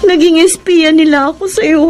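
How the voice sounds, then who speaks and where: An actress playing an anguished, guilt-ridden young escort in a Filipino radio drama, pleading emotionally with a Filipino accent. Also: female voice, very high voice, fairly even intonation, moderate pace, a little mellow. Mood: tearful, guilty. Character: anguished young escort woman confessing betrayal to the man she loves. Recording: dramatic music behind the voice.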